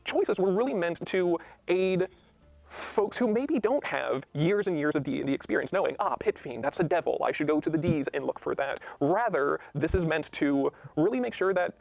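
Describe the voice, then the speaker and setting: A man speaks in an explanatory interview style with a fairly high, creaky voice. He is earnest and detailed, stressing his points as he goes. The sound is very dry. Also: animated, normal speed, a little mellow, no room sound. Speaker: game designer discussing a rulebook.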